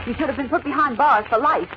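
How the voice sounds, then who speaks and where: A woman's voice: high-pitched, fast, natural voice. An actress playing a plucky, dramatic young woman reporter in a 1940s radio drama. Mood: indignant. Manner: dramatic, emphatic. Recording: muffled, noisy vintage radio recording.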